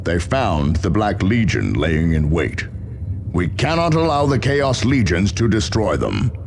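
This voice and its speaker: A man's voice: low-pitched, very animated, measured pace, full character voice. A man playing a grave, authoritative space marine commander, solemnly delivering a formal mission briefing in a video game, with noisy ambience behind. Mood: grave, urgent. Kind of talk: dramatic military mission briefing. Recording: clear background ambience noise.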